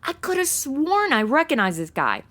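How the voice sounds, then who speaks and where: An actress playing a flippant, sarcastic, easily bored young sidekick in a comedy audio drama, talking in modern casual slang. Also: female voice, high-pitched, sing-song, normal speed, a bit bright. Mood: flippant, impatient.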